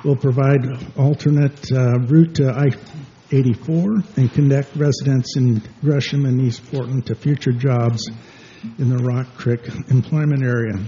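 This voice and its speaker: A middle-aged man giving a measured, prepared public comment at a meeting. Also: mid-range voice, dark tone, a little hiss or hum. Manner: measured, reading from notes. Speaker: public commenter for a business group. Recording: muffled meeting-room audio.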